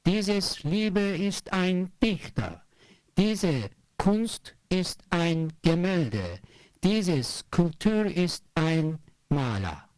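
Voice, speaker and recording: A speaker reciting short repetitive poetic lines in a measured way. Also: mid-range voice, sing-song, darkish tone, dry recording, rough recording.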